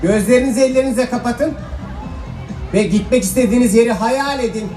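A man's voice: very high-pitched, fairly quick, warm tone, some chatter behind. A man playing a cheeky, clever young trickster boy, projecting playfully to children in a fairy-tale stage show. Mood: sly, instructing. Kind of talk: children's stage musical acting. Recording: echoey hall stage sound, music, audience.

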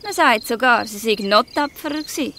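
A woman's voice: a little high, lively intonation, moderate pace, sharp tone, a little hiss or hum. A woman playing a proud, spirited young gang leader in a Swiss German audio drama. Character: proud, bold young girl leading a gang. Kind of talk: dialect audio drama acting.

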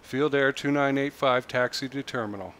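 A man playing air traffic controller, speaking in clipped, deliberate procedural phrases with muttered commentary. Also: medium pitch, fairly bright tone. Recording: very noisy, engine drone behind. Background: flight simulator aircraft ambience.

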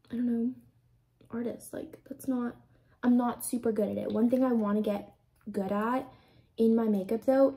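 A woman's voice: on the high side, dark tone. A young woman in her twenties from the United States, chatting casually while doing her makeup in a small room, rambling and filling her talk with "like". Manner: chatty, rambling, heavy use of "like". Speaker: beauty vlogger. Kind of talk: casual get-ready-with-me chat. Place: small indoor room with a plain backdrop.

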